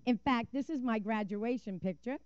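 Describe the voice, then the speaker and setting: An older woman speaks at a podium microphone in a council chamber, telling her story earnestly and vividly. Her voice is mellow and dry, with slightly dull, lo-fi sound. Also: on the high side, moderate pace, clean tone, dry recording. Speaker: public commenter at a council meeting. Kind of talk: prepared public comment with personal storytelling.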